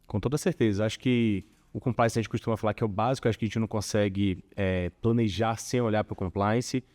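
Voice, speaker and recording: A man from Bahia with a Bahian accent, chatting as a corporate guest in an interview, relaxed and full of small filler tags. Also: on the low side, quick pace. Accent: Bahian Portuguese. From Salvador, Bahia, Brazil. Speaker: corporate tax director, guest. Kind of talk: casual interview conversation.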